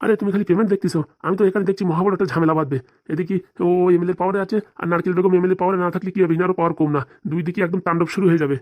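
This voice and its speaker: A man's voice: high voice, fairly even intonation, rapid-fire, no echo, muffled recording, lightly acted. A man voicing timid, fretful police officers in a comedy cartoon, hesitant, stammering and deferential. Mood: worried, fretful. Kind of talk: comic cartoon dialogue.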